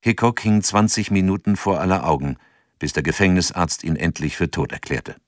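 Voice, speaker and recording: An actor playing a narrator in a radio drama, reading scripted narration in a sober, measured and factual way. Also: male voice, low-pitched, dry recording, clean studio sound, close to an everyday voice.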